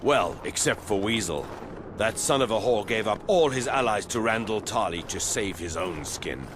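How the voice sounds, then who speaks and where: An actor playing a gruff, joking chief of a fantasy watch patrol in a video game, teasing and sardonic. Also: male voice, fairly low pitch, expressive, normal speed, background noise, lightly acted. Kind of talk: video game cutscene acting.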